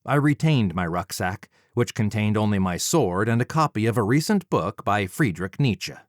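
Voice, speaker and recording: A man narrating an audiobook from a script in a measured, formal way. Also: fairly low pitch, lively intonation, no room sound, studio quality. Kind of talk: scripted first-person narration.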